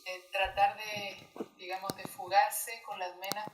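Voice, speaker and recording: A Chilean woman in her thirties, her accent blending Chilean and Mexican Spanish, speaks in a relaxed and reflective way, pausing to think. She sits away from the microphone, and the room adds a noticeable echo to her voice. Her pitch is mid-range for a woman. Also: distant mic, background noise. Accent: Chilean Spanish mixed with Mexican. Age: 30s. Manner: thoughtful, pausing, laughs often. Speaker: poet and guest. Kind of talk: relaxed conversational interview.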